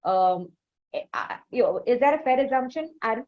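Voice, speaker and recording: A woman's voice: a little high, lively intonation, brisk, lo-fi sound. A woman with an Indian English accent hosting a conversational interview, asking long, hesitant, roundabout questions. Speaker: interviewer, show host.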